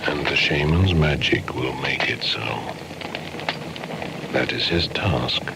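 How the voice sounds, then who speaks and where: An actor playing a solemn storyteller, narrating ancient myth in deliberate poetic verse for a radio drama. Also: male voice, very deep voice, heavy background noise, full character voice. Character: grave male storyteller of an ancient midwinter myth. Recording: loud music underneath.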